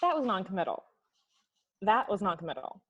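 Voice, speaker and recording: A woman's voice: medium pitch, sing-song, fairly quick, slightly rough. Female actor as assured, particular young woman, medium register, comedy read, muffled lo-fi sound. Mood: precise, insistent. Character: assured young woman, a fiancée fussy about cake. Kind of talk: informal comedy script table read. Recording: muffled video call audio.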